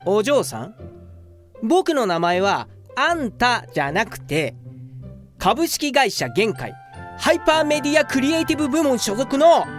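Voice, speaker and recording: A woman's voice: fairly high pitch, big pitch swings, measured pace. A woman acting as an earnest, self-absorbed, dramatic male office worker with big dreams in a comedic radio drama. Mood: bewildered. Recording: voice over clear background music.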